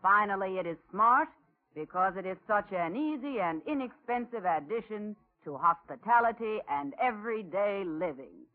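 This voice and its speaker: A man's voice: sits high, animated, normal speed. A man delivering a scripted 1940s radio commercial in an emphatic, point-by-point way. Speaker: commercial pitchman. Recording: tinny 1940s radio recording.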